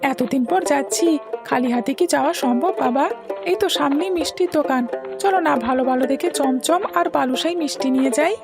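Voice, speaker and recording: A woman's voice: high-pitched, moderate pace. A woman voicing an eager, chatty young schoolboy in a cartoon, pleading and petulant. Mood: insistent. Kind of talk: Bengali cartoon story dialogue. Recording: music behind the voice.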